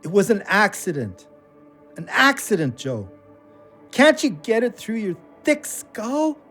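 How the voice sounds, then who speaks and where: A guarded friend in an audio drama, weary and quick to dismiss. His voice sits a little high, moves around a lot and takes its time, with music underneath. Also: huge pitch swings, pushed and bright, exaggerated voice. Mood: exasperated. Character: guarded, weary male friend, consoling but short-tempered.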